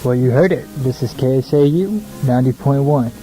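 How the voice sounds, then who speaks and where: A man's voice: mid-range voice, a little fast, warm tone, faint music behind, low-quality recording. A young American man hosting a casual radio show, chatty, informal and self-deprecating between songs. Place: small radio studio, alone.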